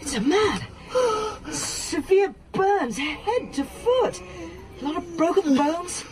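High-voiced, observant woman investigator. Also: expressive, slow pace, heavily acted. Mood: concerned. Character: curious clinical woman investigator, unflinching at gore. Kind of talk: radio drama acting.

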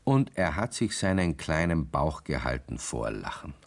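A man's voice: extremely low pitch, very animated, normal speed, vocal fry, exaggerated voice. A man narrating a children's radio story in a playful way, speaking directly to young listeners.

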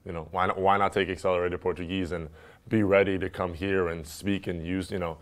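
Deep-voiced young man, relaxed interview. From Turkey. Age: young adult. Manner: relaxed, chatty, frequent fillers. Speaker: basketball player. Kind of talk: casual interview answers. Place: indoor room with wood-panel wall. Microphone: lapel mic.

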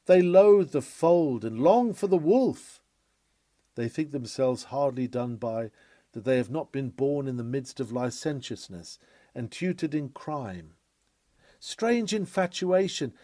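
A man reading a scripted sermon with formal, measured, oratorical delivery. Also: fairly high pitch, mellow, dry recording. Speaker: reader of a historical sermon.

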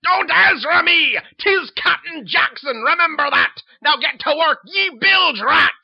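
A man's voice: medium pitch, animated, measured pace, gravelly, no echo, dull audio. Ezra, a man doing a bellowing old sea captain. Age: older. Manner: bellowing pirate-style seaman's talk. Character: gruff old male retired sea captain, bullying.

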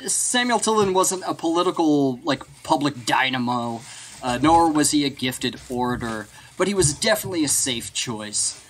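A man's voice: a little high, lively intonation, normal speed. Man narrating history on a podcast. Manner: explains from notes, laughs often. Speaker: podcast host presenting history.

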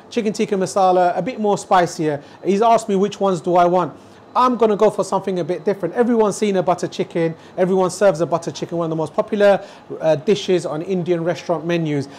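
A man's voice: high voice, a little mellow, slight background noise. A man, presenting a casual food vlog on a lapel mic in a restaurant kitchen, enthusiastic and talking straight to camera. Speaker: food vlogger. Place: restaurant kitchen and dining room.

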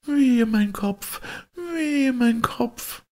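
A man voicing a sick young princess in a storybook, wailing and crying out in drawn-out pain. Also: very high voice, lively intonation, voice pushed up, full character voice. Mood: anguished wailing.

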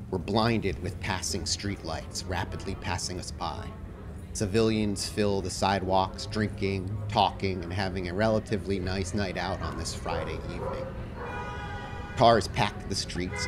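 Low-pitched man hosting a game show. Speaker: game master and show host. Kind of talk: casual announcements, then narrating a tabletop game.

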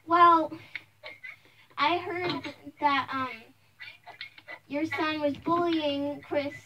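A woman playing an indignant, protective mother, scolding in a halting, emphatic way in an improvised amateur role-play. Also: high voice, fairly even intonation, background noise. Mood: stern, indignant.

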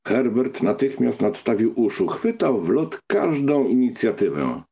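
A man narrating a literary audiobook from a script, with a gravelly, husky, mellow voice. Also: medium pitch, very animated, unhurried.

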